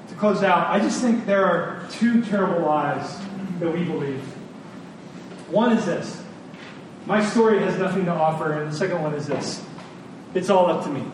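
A high-pitched man delivers an informal, earnest sermon. He repeats phrases for emphasis in a conversational way. The sound is heavily reverberant and picked up from a distance, with clear noise. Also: moderate pace, long pauses. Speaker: preacher. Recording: very echoey room, distant mic, clear noise.

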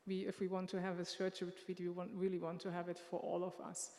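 A woman giving unscripted church announcements in a church sanctuary, halting and pausing often as she speaks into a microphone. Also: on the low side, completely flat delivery, warm tone. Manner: halting, with many filler pauses. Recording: long reverb of a large hall.

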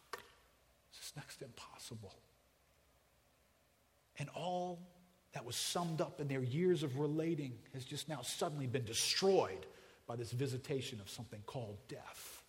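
A man in his forties preaching a sermon, conversational and joking with the congregation, swinging between hushed and loud passages. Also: mid-range voice, a little hiss or hum. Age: 40s. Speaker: pastor. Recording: reverberant hall.

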